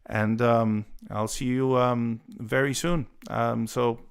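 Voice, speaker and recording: A man's voice: mid-range voice, fairly even intonation, slow pace, creaky, clean tone. A man in his forties, born in Italy with Italian as his first language, chatting casually on a live stream with many fillers and restarts. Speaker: guitar channel host.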